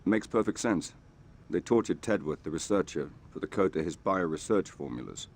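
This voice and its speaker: An actor playing a cool, wry British secret agent in a spy game, clipped and understated. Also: male voice, sits low, expressive, moderate pace, gravelly, darkish tone, full character voice. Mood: grave. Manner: understated, dry, businesslike. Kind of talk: cinematic spy game acting.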